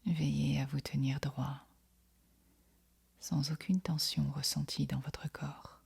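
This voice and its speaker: Whispery, slow woman guiding meditation. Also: low-pitched, flat delivery. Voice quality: breathy, whispery, husky. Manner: soft, soothing, unhurried instruction with long pauses. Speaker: meditation guide. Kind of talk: guided yoga nidra meditation.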